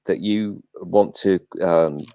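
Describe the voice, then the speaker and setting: An older man hosting a casual live chat, talking in a flat, self-deprecating way and swearing now and then. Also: low-pitched, slow, gravelly, clean tone, no room sound.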